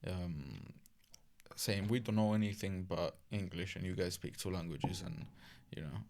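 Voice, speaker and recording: A man's voice: low voice, unhurried, a little mellow. A young man from Italy with an Italian accent, hosting a casual podcast chat in a chatty, teasing way. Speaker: podcast host. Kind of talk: casual conversation.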